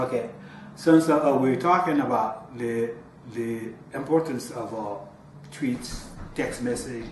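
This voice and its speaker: A man interviewing, speaking haltingly with many restarts, repeated words and fillers. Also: mid-range voice, darkish tone. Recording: echoey room, from far off, faint noise.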